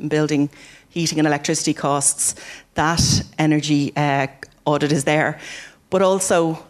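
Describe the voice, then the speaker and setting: Energy agency person rattling off grant details, fast and flat. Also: mid-range voice. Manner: brisk, explanatory. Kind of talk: explaining grants in an interview.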